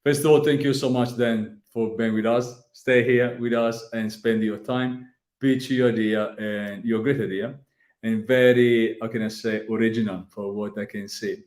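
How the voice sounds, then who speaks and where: A man hosting a live online pitch event, thanking founders with friendly bursts of speech. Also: fairly even intonation, normal speed, a little mellow. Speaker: event host. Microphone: video call. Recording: echoey room on a call.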